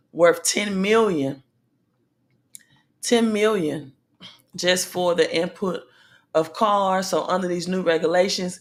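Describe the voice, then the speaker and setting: Woman, slightly raspy, lowish voice, very slow, halting with fillers, livestream host addressing viewers. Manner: slow, halting delivery with many pauses and fillers; calls out to viewers directly. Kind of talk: casual livestream talk on money news.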